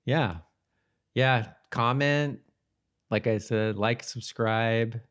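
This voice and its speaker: A man's voice: mid-range voice, very slow, fairly bright tone, clean tone. A man co-hosting a podcast in casual chat, speaking loosely and hesitantly, often trailing off. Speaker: podcast co-host. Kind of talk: casual chat about a TV episode.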